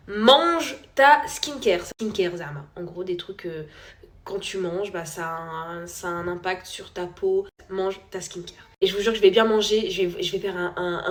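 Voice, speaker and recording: Young mum vlogger, chatty and candid, middle pitch, loose filler-heavy delivery. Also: female voice, normal speed. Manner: chatty and candid, many fillers, drops to a whisper at times. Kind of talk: casual chat to followers.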